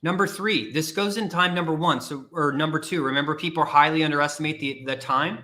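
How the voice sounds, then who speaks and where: A man's voice: fairly high pitch, fairly quick, sharp tone. A man hosting a career podcast, talking to listeners in an emphatic, repetitive way and challenging them directly. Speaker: podcast host and career coach. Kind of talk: motivational livestream talk.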